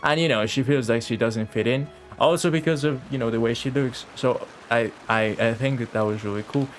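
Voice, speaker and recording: A man giving casual, halting, reflective livestream commentary on a video game in an informal way. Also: mid-range voice. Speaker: game streamer. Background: faint game music.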